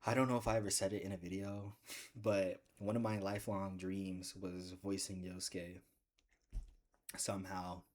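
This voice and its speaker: A man with a deep voice chatting casually in a gaming vlog, rambling and joking with bursts of excitement. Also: moderate pace. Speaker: gaming video creator.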